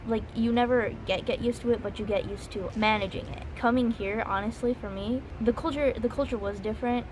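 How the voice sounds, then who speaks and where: A woman's voice: a little high, steady tone, fairly quick. A woman in her twenties with an American accent, chatting informally and reflectively to camera as a student vlogger, rambling and self-aware. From United States. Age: 20s. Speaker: study abroad student vlogger. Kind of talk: casual reflective chat to camera. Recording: clearly noisy recording with ambient background.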